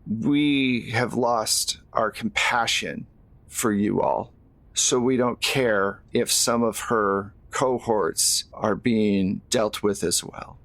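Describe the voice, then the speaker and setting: A man playing a vengeful, hot-headed fairy prince in a tabletop game, speaking in a cold, menacing, measured way. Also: fairly low pitch, a bit bright, dry recording, rough recording. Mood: cold, bitter.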